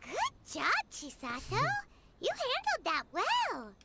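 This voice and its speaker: A woman's voice: very high-pitched, wildly swooping pitch, slow pace, very noisy, heavily acted. A woman voicing a tiny, feisty companion sprite with a childlike delivery in a video game. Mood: pleased. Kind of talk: English video game voice acting.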